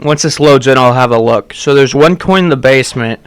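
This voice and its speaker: A hoarse man who is losing his voice, casually talking through a game as he plays and working out his next steps aloud. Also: mid-range voice, a little fast, no echo. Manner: thinking aloud, methodical. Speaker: gameplay streamer. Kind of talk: casual gameplay commentary.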